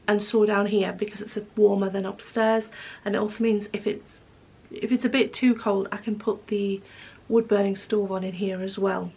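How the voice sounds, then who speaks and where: A woman chats casually from her living room, her voice hoarse and croaky from a cold, with a gravelly rasp. She rambles through her plans, correcting herself and filling pauses with ums. Her pitch sits in a middle range. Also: a little mellow. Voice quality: croaky, husky. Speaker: knitting vlogger. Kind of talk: casual vlog chat. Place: home living room with wood stove.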